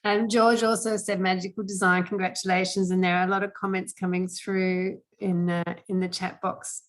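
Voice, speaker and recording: A woman's voice: mid-range voice, level tone, normal speed. A woman hosting an online meeting, informally passing on questions and comments. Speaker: meeting host, moderator.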